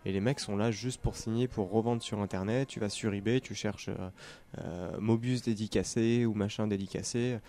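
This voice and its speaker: A man's voice: on the low side, steady tone, normal speed, few pauses, dark tone. A man chatting casually on a podcast, talking with many fillers and restarts. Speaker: podcast co-host, comics shop worker. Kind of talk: casual chat.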